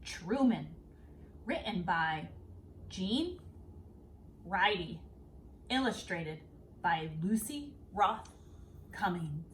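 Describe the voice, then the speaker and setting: A woman reading a children's storybook aloud as a read-aloud host, expressive and deliberate, with dramatic pauses and emphasis. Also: fairly low pitch, a little hiss or hum. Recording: roomy echo, distant microphone.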